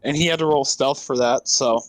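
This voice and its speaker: A man's voice: mid-range voice, brisk, dry recording, rough recording. A man playing a tabletop game, casually talking through his character's actions. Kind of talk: casual roleplay chat.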